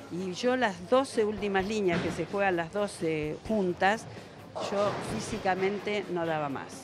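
A woman in her seventies with an Argentine accent answers interview questions conversationally, in a reflective, explanatory manner. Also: mid-range voice. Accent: Argentine Spanish. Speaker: veteran bowler being interviewed. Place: indoor bowling alley. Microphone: handheld interviewer's microphone. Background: music.

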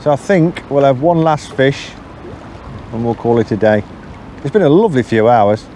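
A middle-aged man from England talks easily while he fishes from a riverbank, relaxed and unhurried. His voice sits at an ordinary middle pitch. A camera set behind him outdoors picks it up along with clear wind and water noise. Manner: relaxed, unhurried, thinking aloud between casts. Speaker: angler filming his own channel. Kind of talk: casual commentary while fishing. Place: riverbank outdoors on a windy day. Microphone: camera mic placed behind him.

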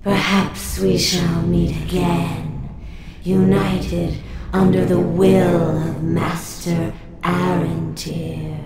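An actress playing a vengeful undead female lich in a video game, deliberate and coldly menacing. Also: lowish voice, level tone, gravelly, exaggerated voice. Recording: echoing, under loud music.

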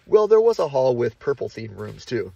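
A man narrating the history of an abandoned school in a calm, measured documentary style. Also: medium pitch, creaky, no echo, lo-fi sound. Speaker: urban explorer narrator. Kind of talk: documentary narration with on-site asides.

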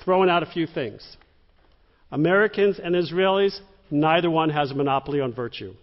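A middle-aged man moderating a public Q&A onstage, brisk and prompting. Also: a little high. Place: synagogue stage before an audience. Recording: dull echoey hall recording.

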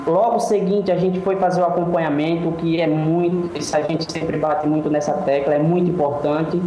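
A man's voice: fairly high pitch, fairly even intonation, normal speed. A man in his thirties from Brazil, telling his story as an interview guest over a video call, earnest and full of restarts. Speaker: guest entrepreneur. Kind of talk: interview answers. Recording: echoey room with faint noise.

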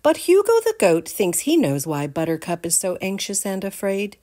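An older woman giving an expressive read-aloud storytime for young children, in a deliberate, animated manner. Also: mid-range voice. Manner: deliberate, animated, addresses the listeners with questions.

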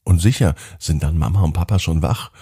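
A man's voice: deep voice, a little fast, dark tone, slightly rough, no room sound, studio quality. A man hosting a children's podcast and narrating a scripted bedtime story, speaking expressively and directly to young children.

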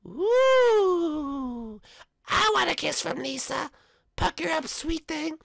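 A woman voicing a loud, taunting schoolyard bully boy who turns cowardly, in a homemade cartoon play. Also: high-pitched, animated, slow pace, gravelly, no room sound, clean studio sound, heavily acted. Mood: smitten.

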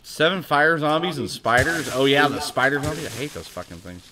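American streamer guy chatting while he plays, laughs a lot, swears when stuff goes wrong, sharp bright voice. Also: a little high, very animated, fairly quick, slight background noise. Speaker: livestream host. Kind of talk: casual gaming livestream chat.

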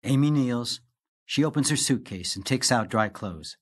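A man's voice: mid-range voice, expressive, normal speed. A man giving scripted narration, reading the stage directions of a play.

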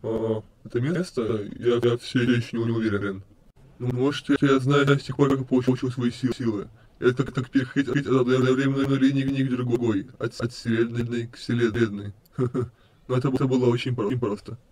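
A man's voice: mid-range voice, level tone, a little fast, darkish tone, close to an everyday voice. A man voicing an insane, menacing skeleton in an amateur comic dub, with glitchy stutters and repeated words. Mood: casual, unhinged.

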